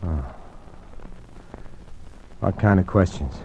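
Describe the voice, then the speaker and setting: A man's voice: sits low, takes it slowly, mellow. A man acting a small-time gangster in an old-time radio crime drama, a wisecracking ladies' man with wry, street-slang talk. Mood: weary, defiant. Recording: dull, noisy vintage radio recording.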